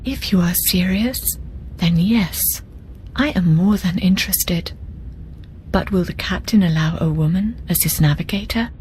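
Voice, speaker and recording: An actress playing a proud, formal woman navigator in a video game, speaking in a breathy, whispery way without contractions. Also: fairly low pitch, a little slow, darkish tone, a little hiss or hum, close to an everyday voice. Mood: earnest.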